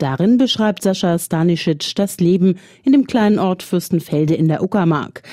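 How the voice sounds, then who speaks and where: A woman hosting a radio literature show, reading her script in a calm, measured way. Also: fairly low pitch, no room sound, studio quality. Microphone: studio microphone.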